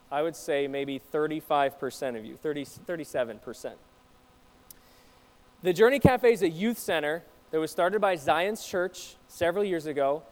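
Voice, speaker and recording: A man with a fairly high voice gives a sermon in a church. He talks easily and asks his congregation questions. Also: poor audio. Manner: conversational, asks the audience questions. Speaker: pastor.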